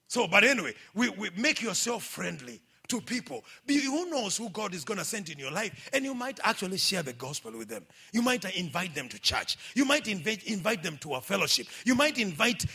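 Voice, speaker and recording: Lively Kenyan-accented man preaching. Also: very high-pitched, breathy edge. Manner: lively, exhorting, calls out for amens, quotes scripture. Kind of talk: sermon.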